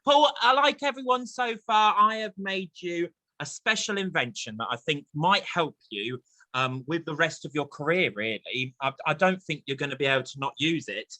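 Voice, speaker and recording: Animated, bright-voiced male chat show host. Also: on the high side, moderate pace, dry recording. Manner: enthusiastic, jokey, effusive. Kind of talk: chatty, playful interviewing.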